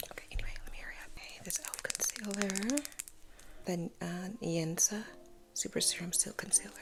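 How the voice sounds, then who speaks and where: A woman in her thirties, sleepy and just up, chatting casually in a soft whisper while doing her makeup at home. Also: on the low side, gravelly. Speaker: vlogger. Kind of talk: soft-spoken whispered get-ready-with-me vlog.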